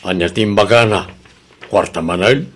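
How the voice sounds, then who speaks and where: A man's voice: on the low side, normal speed, a bit bright. Guy acting a working dad in a radio drama, grumbling and questioning his wife. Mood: suspicious, displeased. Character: working husband and father, questioning his wife about money.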